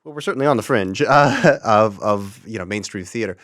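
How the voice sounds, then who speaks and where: A man being interviewed in a relaxed group chat, speaking in a wry, articulate way. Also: lowish voice, fairly quick, no room sound, clean studio sound. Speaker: audio drama troupe member being interviewed.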